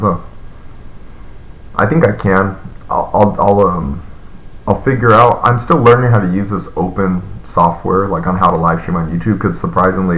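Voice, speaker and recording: Low gravelly guy in his 30s rambling on a home live stream, lots of likes and ums, bit of hiss. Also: a bit bright, reverberant. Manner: loose and rambling, many fillers and restarts. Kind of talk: casual live-stream chat reading viewer comments.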